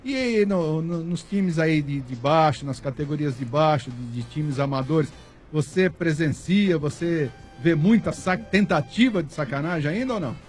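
A man's voice: on the high side, lively intonation, moderate pace, soft background music. A man from São talking football on a radio show in a casual, emphatic way, full of slang and fillers. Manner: emphatic, colloquial, many fillers. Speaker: sports radio commentator. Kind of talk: casual sports talk.

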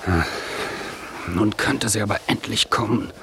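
A deep-voiced stranger, noisy ambience. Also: male voice, measured pace. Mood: guarded, impatient. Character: terse, impatient male stranger waiting in a dark street. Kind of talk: German radio play acting. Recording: clear background ambience noise.

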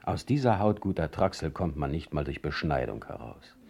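A man's voice: extremely low pitch, big pitch swings, moderate pace, vocal fry, darkish tone, heavily acted. An actor playing a proud, ironic, brooding poet in a radio play, a man talking in a rhetorical, theatrical manner. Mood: defiant, ironic. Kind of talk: German radio play acting, letter monologue.

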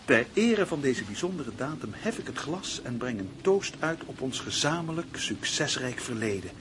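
An actor in a radio play playing a cold, calculating man who taunts his partner in a theatrical, deliberate, mock-sweet way. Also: mid-range voice, expressive, background noise, close to an everyday voice. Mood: mock cheerful. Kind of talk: Dutch radio play acting.

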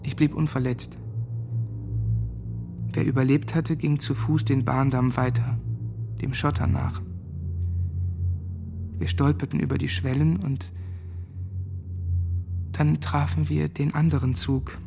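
A sombre young man recalls his past in literary radio-drama monologue. His voice is gravelly and of medium pitch, with music underneath. He sounds haunted. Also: level tone, slow, long pauses. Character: haunted young man, former Nazi boarding-school pupil.

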